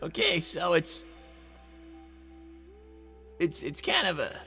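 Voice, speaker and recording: An excitable young cartoon fox, high-pitched and bright, with wide swings in pitch. He is anxious and flustered, stammering and repeating himself as he goes. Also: takes it slowly, faint music behind, full character voice. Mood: earnest, hesitant. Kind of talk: improvised character roleplay on a livestream.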